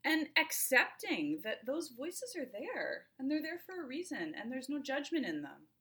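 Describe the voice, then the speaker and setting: A woman's voice: sits high, big pitch swings, normal speed, sharp tone. A woman co-hosting a reflective conversation, talking thoughtfully and searching for words as she repeats and reworks her phrases. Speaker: co-host. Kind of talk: reflective conversational talk on self-care.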